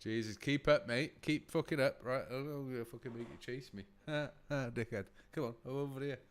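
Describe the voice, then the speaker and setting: A man with a boomy voice, chatting casually and profanely on a live stream, laughing often, close to his desk mic. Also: normal speed, slight background noise. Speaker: livestreamer reacting to videos. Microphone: desk mic close to face.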